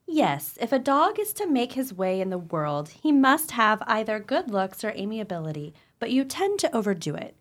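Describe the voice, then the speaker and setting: A woman's voice: a little high, very animated, moderate pace, few pauses. A woman playing a stern, practical mother watchdog who admonishes her pup in a radio play. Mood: scolding.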